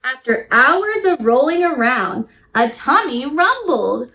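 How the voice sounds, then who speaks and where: A woman with a very high, swooping voice leads storytime for little kids. She is a teacher who talks slowly and directly to children with big, sing-song enthusiasm. The room adds noticeable echo. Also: big pitch swings, pushed and bright. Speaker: children's teacher and show host. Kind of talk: read-aloud storytime for young children.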